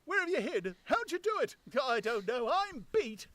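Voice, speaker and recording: A man voicing an excitable rustic tramp who yelps his lines high and loud. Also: normal speed, no room sound, exaggerated voice. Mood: bewildered. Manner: high squawking yelps, exclamatory. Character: excitable rustic male tramp, gruff and bewildered.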